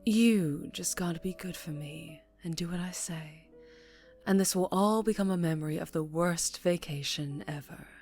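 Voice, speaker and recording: Female mafia boss, voiced by an actress, low-pitched and breathy, teasing menace, ASMR roleplay over faint music. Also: moderate pace, close to an everyday voice. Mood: calm threatening. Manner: teasing, taunting, softly threatening. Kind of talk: scripted ASMR roleplay monologue.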